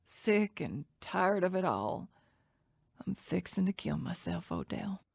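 A worn-out, hopeless mother in a radio drama. She drags her words slowly in a low, gravelly creak, weary and repetitive, recorded close and dry. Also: sing-song, a little mellow, dry recording, exaggerated voice. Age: 40s. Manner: weary, rambling, repeats herself. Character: worn-out despairing mother in her early forties.

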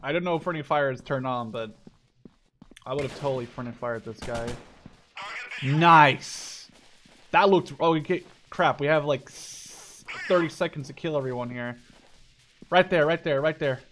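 A man with a slightly high voice gives casual gameplay commentary as a gaming video host. He thinks out loud as he plays, with some game gunfire and clicking behind him. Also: moderate pace, pauses often, slight background noise. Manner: thinks aloud, mild swearing.

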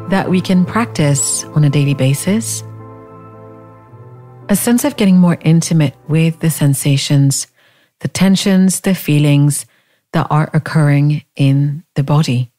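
Low-pitched, slow, mellow woman guiding meditation. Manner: calm, gentle, unhurried, with long pauses. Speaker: meditation guide. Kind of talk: guided body scan meditation.

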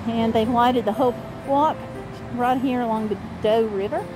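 A woman's voice: a little high, fairly even intonation, a little slow, background noise. A middle-aged woman narrating an informal sightseeing tour as a travel vlogger, reading out signs and pointing out sights. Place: outdoors on small-town streets at night. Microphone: handheld camera at arm's length.

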